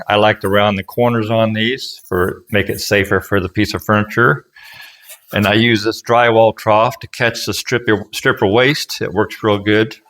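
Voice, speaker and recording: Low-pitched man giving hands-on instruction. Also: a bit bright. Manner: plain-spoken, folksy, step by step. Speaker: furniture restorer and instructor. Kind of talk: casual hands-on how-to narration.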